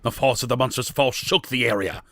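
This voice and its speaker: A man's voice: medium pitch, fairly quick, a bit bright, slight background noise. A man narrating a scripted fantasy story as the narrator of an audio drama.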